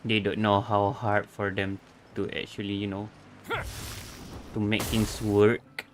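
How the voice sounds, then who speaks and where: Slow-talking man reacting on a game stream. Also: fairly low pitch, monotone, slight background noise. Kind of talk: casual live game reaction. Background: game music.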